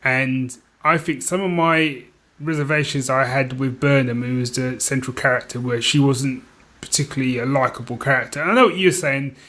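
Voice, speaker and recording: A man's voice: mid-range voice, fairly even intonation, moderate pace, sharp tone. A British man chatting informally as a podcast co-host, often checking that his listener follows. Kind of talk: casual chat.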